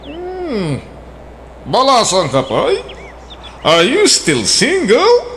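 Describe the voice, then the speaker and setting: A man's voice: very high-pitched, wildly swooping pitch, drawn-out words, fairly bright tone, slight background noise, exaggerated voice. An actor playing a forward, blunt man back from abroad who mixes English into his speech. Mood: brash. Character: returning male emigrant suitor, blunt and forward. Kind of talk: radio drama acting.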